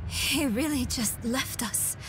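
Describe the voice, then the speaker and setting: Young daughter, kinda high, urgent n earnest, game scene, noisy ambience. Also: female voice, takes it slowly. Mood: upset, urgent. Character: determined, distressed young daughter seeking her mother. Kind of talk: dark fantasy game cinematic acting.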